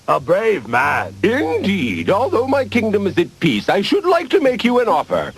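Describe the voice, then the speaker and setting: King: high, very animated, easily impressed, light music behind. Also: moderate pace, husky, heavily acted. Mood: flustered. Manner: flustered, stately. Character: fussy old male king, easily impressed. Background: background music.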